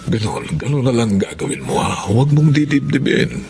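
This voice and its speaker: Kindly old Filipino father, very animated. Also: mid-range voice, moderate pace. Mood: reassuring. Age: older. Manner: folksy, reassuring, exclamatory. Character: kindly, encouraging older Filipino father of a grown son. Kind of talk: Filipino radio drama acting.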